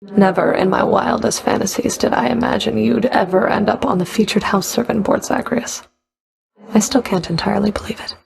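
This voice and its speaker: A woman's voice: mid-range voice, steady tone, normal speed, vocal fry, crowd noise. A woman playing a stern, sardonic underworld Fury in a video game, speaking in a cool, dry, clipped way. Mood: grudgingly sincere. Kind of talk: video game character voice acting.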